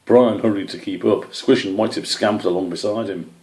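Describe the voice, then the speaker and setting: A man's voice: fairly low pitch, fairly quick, darkish tone, echoey room. A man reading a scripted children's animal story as its narrator. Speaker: author or story reader.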